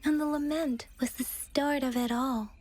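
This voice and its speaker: A woman's voice: high voice, fairly even intonation, normal speed, darkish tone, soft background music, natural voice. A woman voicing an earnest, studious young investigator in a video game, explaining things thoughtfully. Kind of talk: video game character dialogue.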